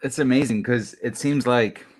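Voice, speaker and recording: A man co-hosting a casual interview, speaking in a thoughtful, measured way. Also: medium pitch, fairly even intonation. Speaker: co-host.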